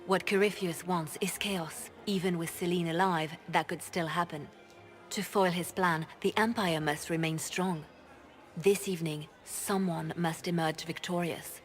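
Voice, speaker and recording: An actress playing a coolly pragmatic, ruthless spymaster in a video game, grave and measured. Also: female voice, lowish voice, steady tone, soft background music, close to an everyday voice. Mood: calm, calculating. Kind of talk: video game dialogue acting.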